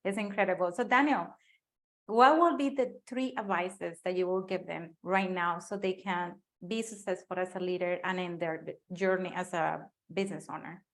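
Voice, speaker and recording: A woman's voice: lowish voice, fairly even intonation, moderate pace, poor audio. A woman with a Spanish accent, a native Spanish speaker, hosting an interview with enthusiastic praise. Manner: warm enthusiastic praise, mixes casual phrasing. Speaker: host and business coach.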